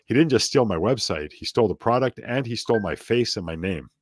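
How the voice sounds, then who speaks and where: A man's voice: on the low side, animated, brisk, breathy edge, no room sound. A middle-aged man as an interview guest, chatting casually and rambling off onto tangents with self-deprecating asides.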